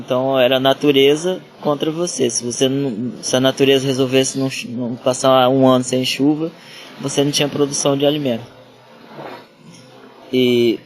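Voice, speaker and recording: A man from Ceará with a northeastern Brazilian accent, a trail runner telling his life story in a casual interview, rambling with many restarts. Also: mid-range voice. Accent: northeastern Brazilian Portuguese.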